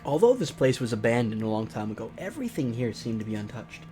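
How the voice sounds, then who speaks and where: A man's voice: medium pitch, big pitch swings, quick pace, warm tone, soft background music. A man hosting a let's play, reading a visual novel aloud theatrically and breaking off into mocking, exclaimed asides. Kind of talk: narrated game let's play with joking asides.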